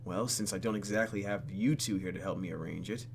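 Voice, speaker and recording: A man hosting a let's play, reading game text aloud and adding sarcastic, animated asides. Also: low-pitched, fairly quick, creaky, slight background noise. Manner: animated, sarcastic, exclaims and mutters. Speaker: YouTube gaming host.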